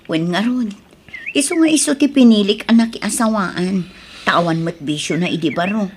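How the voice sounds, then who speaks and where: A woman's voice: mid-range voice, expressive, normal speed, slight background noise, lightly acted. A woman playing a patient but reproachful, devout wife in an audio drama, plain and pleading, with an Ilocano accent. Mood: worried.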